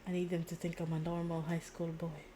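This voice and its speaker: A woman's voice: on the low side, monotone, fairly quick, warm tone. A woman game streamer chatting casually in a rambling, slangy, blunt way, often repeating her words. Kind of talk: casual live-stream chat. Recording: faint background noise, game audio.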